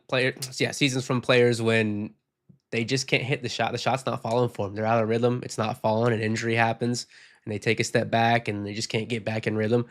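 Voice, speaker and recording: A man hosting a casual postgame podcast, reading viewer comments and giving frank opinions, sick and losing his voice. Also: mid-range voice. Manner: frank, rambling, reads viewer comments aloud. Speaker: podcast host.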